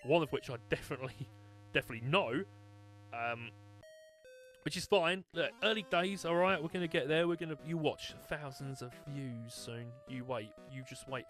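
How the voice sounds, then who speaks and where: A young British man chatting his way through a live game stream, animated and full of restarts and filler words. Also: on the high side, normal speed, faint music behind. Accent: British English. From United Kingdom. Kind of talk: live gameplay commentary.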